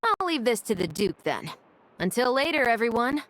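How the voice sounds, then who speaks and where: A woman's voice: a little high, normal speed, a little hiss or hum, poor audio. An actress playing a helpful, easygoing woman musketeer. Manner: relaxed, obliging. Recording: game audio with music behind.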